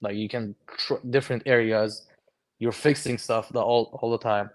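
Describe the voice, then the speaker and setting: A young man in his twenties from Lebanon, a guest chatting casually and telling his story in a relaxed way. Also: medium pitch, normal speed. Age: 20s. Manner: relaxed storytelling, lots of "bro" and "like", acts out quoted conversations. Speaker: guest, HVAC technician. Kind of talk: casual podcast chat.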